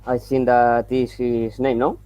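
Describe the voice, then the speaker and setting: A Spanish-speaking man from Colombia with a Colombian accent, calling in over video and halting as he searches for English words. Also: medium pitch, flat delivery, mellow, no echo. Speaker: caller. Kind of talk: call-in discussion. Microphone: video call.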